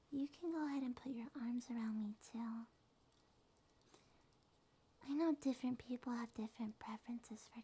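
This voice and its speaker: A woman voicing a small, affectionate succubus monster girl in a soft, soothing, sleepy ASMR roleplay. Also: a little high, heavily acted. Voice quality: soft, breathy, often whispered. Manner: slow, tender, soothing.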